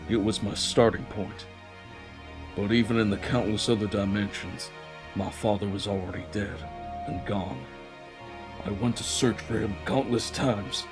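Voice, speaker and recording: A man playing a grave, persuasive president villain in a dramatic anime fandub, speaking in measured, formal, declamatory monologue. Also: on the low side, steady tone, over music, lightly acted. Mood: earnest, wistful. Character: male president villain, solemn, persuasive, grave, honor-bound vower. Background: dramatic orchestral soundtrack.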